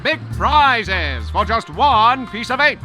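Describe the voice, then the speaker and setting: An actor playing a polite roulette operator in a cartoon adventure game, with formal huckster's patter. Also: male voice, extremely high pitch, very animated, takes it slowly, heavily acted. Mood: sales patter. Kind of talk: animated adventure game dialogue. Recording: game music behind the voice.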